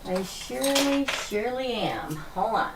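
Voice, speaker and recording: Hoarse lady on a livestream, slow soft chatty talk, gravelly, faint hiss behind. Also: fairly high pitch, lively intonation. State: hoarse, losing her voice. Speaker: tarot reader hosting a livestream. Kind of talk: casual livestream chat.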